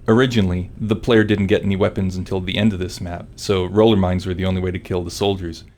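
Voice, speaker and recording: Man, slightly deep voice, scripted commentary, game developer. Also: flat delivery, fast, darkish tone.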